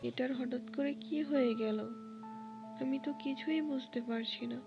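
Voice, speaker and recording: A woman's voice: high-pitched, moderate pace, lo-fi sound. A woman voicing a worried, tender mother bird in a cartoon, with anxious motherly questioning. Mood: hurt. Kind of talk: cartoon storytelling dialogue. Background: music.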